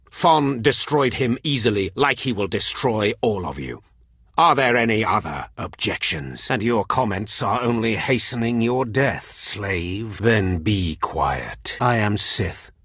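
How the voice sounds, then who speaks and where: Sci-fi game villain: Sith overseer, low and very raspy, imperious, sneering contempt, menacing delivery, dry studio sound. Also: male voice, fairly bright tone, dry recording, full character voice. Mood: cold, menacing. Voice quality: raspy, husky. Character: cruel, contemptuous Sith overseer, a grown man. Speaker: video game voice actor. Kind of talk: scripted video game dialogue.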